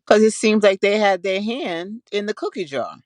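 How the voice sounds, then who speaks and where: A woman's voice: mid-range voice, animated, normal speed, no room sound. Female podcast co-host, American, mid-range voice, blunt casual opinions, close dry recording. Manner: blunt, colloquial.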